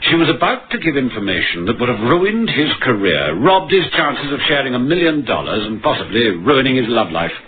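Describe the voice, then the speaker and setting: An actor playing a dogged, middle-aged police inspector in 1950s radio drama style. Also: male voice, mid-range voice, big pitch swings, fairly quick, hardly any pauses, husky. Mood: earnest, pressing. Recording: muffled, noisy vintage radio broadcast.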